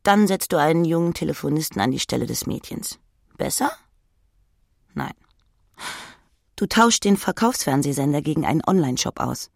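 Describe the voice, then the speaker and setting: Female literary narrator, pronounced vocal fry, scripted second-person reading, dry booth sound. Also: low-pitched, animated, moderate pace, long pauses, dry recording. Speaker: audiobook narrator.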